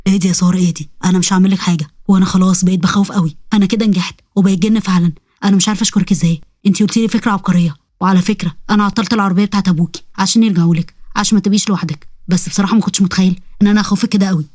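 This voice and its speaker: A woman voicing a male jinn spirit with a thick, low voice and an Egyptian accent, in a storybook tale. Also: fairly even intonation, very fast, hardly any pauses, a little mellow, dry recording, clean studio sound, close to an everyday voice. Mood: teasing. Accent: Egyptian Arabic.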